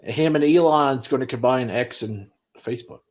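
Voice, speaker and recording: A man's voice: medium pitch, moderate pace. A man in a casual chat who cuts in with short, blunt, teasing remarks. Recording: dull lo-fi audio.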